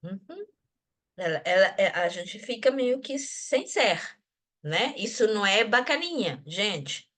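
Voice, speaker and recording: A woman's voice: mid-range voice, sing-song, slow pace, a bit bright. A woman from Venezuela speaking in an academic discussion group, thoughtful, often restarting and adding tag questions. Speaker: discussion participant.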